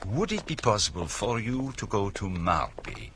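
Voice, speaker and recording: An actor playing a polite, probing detective with a courteous, deliberate manner in a radio drama. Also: male voice, fairly low pitch, very animated, noisy background. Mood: earnest, persuasive. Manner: courteous, hesitant, deliberate questioning.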